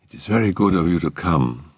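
A man voicing a stately middle-aged lady with a low, soft, musical voice in an audiobook story. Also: expressive, fairly quick, husky, no echo, clean studio sound. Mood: gracious.

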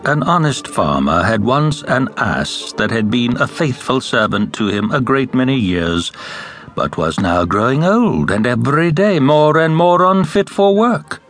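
A man narrating fairy tales as a scripted audiobook, in an expressive storytelling manner. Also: medium pitch, measured pace, soft background music.

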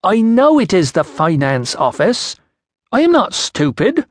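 Audiobook role: proud, indignant ancient tribeswoman, played by a male narrator, raised pitch, slow and emphatic, blunt. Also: big pitch swings, no echo, studio quality, exaggerated voice. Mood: insistent, indignant.

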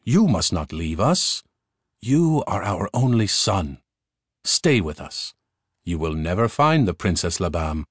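A man's voice: sits low, very animated, slow, breathy edge, dry recording, studio quality, heavily acted. A man voicing anxious, loving parents of an only son in a fairy tale. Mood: pleading.

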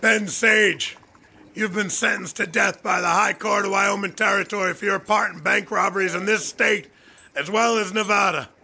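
A man playing a brisk, commanding Old West sheriff in a radio drama, terse and gravelly. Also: high voice, fairly even intonation, pushed and bright, full character voice. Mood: formal, commanding. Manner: terse, authoritative. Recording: noisy outdoor scene with birds.

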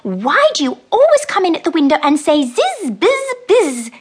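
A woman's voice: sits high, wildly swooping pitch, moderate pace, voice pushed up. A woman voicing a fussy, very tidy little female mouse who talks in a prim, scolding way.

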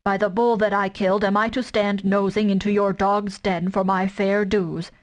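A woman voicing a furious, boastful male tiger who speaks slowly and threateningly in a storybook reading. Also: medium pitch, flat delivery, close to an everyday voice. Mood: scornful.

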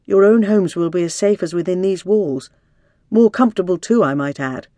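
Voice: mid-range voice, normal speed, mellow, no room sound, natural voice. An audiobook narrator voicing a flustered, exasperated male hospital doctor with a formal way of speaking. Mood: strained, placating. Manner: formal, raises voice to plead for order.

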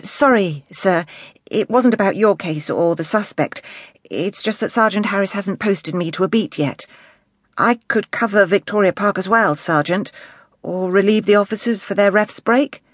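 A man's voice: high-pitched, normal speed, no room sound, voice pushed up. A man reads as a young policewoman in an audiobook. The delivery is polite, keen and deferential to superiors, recorded very dry. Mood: polite, hopeful. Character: polite, eager young female probationer police officer. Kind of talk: audiobook character dialogue.

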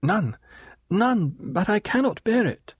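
A man's voice: a little high, lively intonation, moderate pace, breathy edge, dry recording, studio quality, pitched above the performer's own voice. A man voicing a timid young woman who speaks in a faltering, fearful way. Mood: frightened. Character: frightened, timid young woman, a boatman's daughter.

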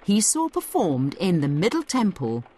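A woman's voice: on the low side, huge pitch swings, normal speed, warm tone, slightly rough. A woman narrating a scripted educational audio guide, explaining things conversationally as she addresses the listener directly.

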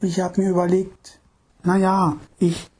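Guy doing a young wife's voice, husky and mellow, pushy but hesitant, audiobook. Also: high voice, monotone, unhurried, voice pushed up. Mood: coaxing. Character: fretful young wife, insistent, wheedling. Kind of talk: audiobook narration.

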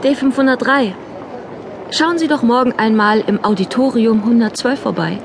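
A woman's voice: fairly high pitch, normal speed, background noise, lightly acted. A woman playing a bold, ironic woman of a regimented future in a radio drama, provocative and mocking in her manner. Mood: inviting, firm. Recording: loud urban outdoor ambience behind.

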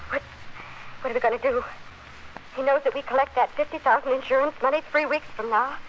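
A frightened woman accomplice played by an actress in a vintage radio thriller. Her voice is high, nervous and pleading, with old-fashioned melodramatic delivery. The recording is dull and faintly noisy. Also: fairly even intonation, fast talker, close to an everyday voice. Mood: anxious. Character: frightened, anxious female accomplice in a fraud. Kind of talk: 1940s radio melodrama acting.

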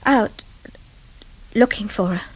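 A woman's voice: medium pitch, takes it slowly, darkish tone, poor audio. An actress playing an anxious, protective mother in a radio drama, distressed and hesitant. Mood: distraught. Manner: hesitant, emotional, breathless pleading. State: distressed, tearful. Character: anxious, tearful middle-class mother, fretful and protective. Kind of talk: radio crime drama acting.

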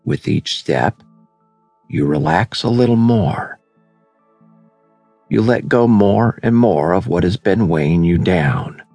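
Man, very low creaky voice, scripted meditation narration, soothing and measured, dry close sound. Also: darkish tone, dry recording. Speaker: audiobook narrator, meditation guide. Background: background music.

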